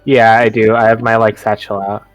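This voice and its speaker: A man's voice: on the low side, little pitch movement, brisk, over music. A man chatting casually as a player in a tabletop roleplaying game, answering the game master.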